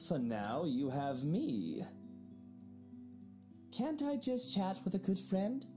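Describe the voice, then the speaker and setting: A woman's voice: fairly low pitch, wildly swooping pitch, slow, darkish tone, faint music behind, pitched above the performer's own voice, exaggerated voice. A woman voicing a smug, flirtatious married man in a game she reads aloud, with a teasing manner. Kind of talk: visual novel character voicing.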